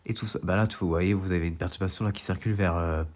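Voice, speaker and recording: A man's voice: deep voice, steady tone, quick pace, a little mellow. Stuffy-nosed guy with a cold. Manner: rambling, repetitive, full of restarts; grumbling about being sick. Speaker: storm chaser and weather vlogger. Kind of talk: casual screen-share walkthrough.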